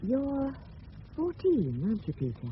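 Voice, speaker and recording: An English woman in her twenties, polite and attentive. Her fairly high voice swoops widely as she gently coaxes. The radio-play recording is slightly noisy. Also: wildly swooping pitch, unhurried, highs cut off. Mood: attentive, coaxing. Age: 20s. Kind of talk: radio drama acting.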